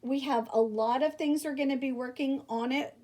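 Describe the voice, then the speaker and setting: A woman reading a children's picture book aloud like a teacher, animated, with theatrical emphasis. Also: sits high, moderate pace. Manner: animated, theatrical emphasis with exclamations and rhetorical questions. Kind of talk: expressive children's story read-aloud.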